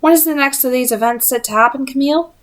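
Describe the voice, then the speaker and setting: A woman reader gives voice to a female leader of demon hunters in a storybook. She is cautious and protective, slow to agree and firm with her charges. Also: high-pitched, pitched above the performer's own voice, lightly acted. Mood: doubtful. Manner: reluctant, firm.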